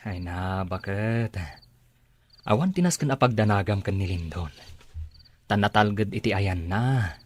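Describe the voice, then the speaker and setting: An actor playing an older father in a radio drama, speaking softly with a husky voice. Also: lowish voice, expressive, unhurried, pauses often. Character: older father worried about his child. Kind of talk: Ilocano radio drama acting.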